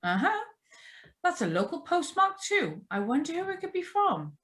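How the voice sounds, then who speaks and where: An actress plays a doting, chatty older mother-in-law from a comfortable household. Her voice is high and swings wildly up and down. The delivery is old-fashioned radio drama. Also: huge pitch swings, normal speed, a bit bright, heavily acted. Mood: pleasant, curious. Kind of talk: 1940s-style radio drama acting. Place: remote live reading over video call.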